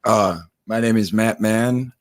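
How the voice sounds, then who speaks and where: Halting young man, low voice. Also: fairly even intonation, no echo, studio quality. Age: 20s. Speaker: podcast guest. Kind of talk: casual interview.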